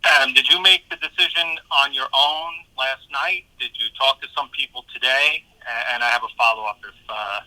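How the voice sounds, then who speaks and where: A man's voice: mid-range voice, moderate pace, hardly any pauses, bright, forceful tone, rough recording. A man questioning a coach as a sports reporter on a phone press conference.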